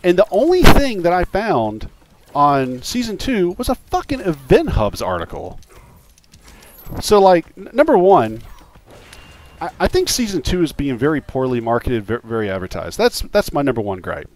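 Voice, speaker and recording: A man's voice: a little high, huge pitch swings, normal speed. A man hosting a casual gaming livestream, talking in an informal, rambling, sweary way into a desktop microphone. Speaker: podcast host and game streamer.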